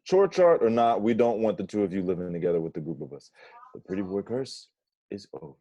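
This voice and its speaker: A mellow, lowish man's voice with big swings in pitch an actor playing a dramatic, outspoken young housemate. He reads a comedy script. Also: animated, fairly quick, lo-fi sound. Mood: emphatic. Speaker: actor in a scripted table read. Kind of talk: comedy script read aloud. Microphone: video call.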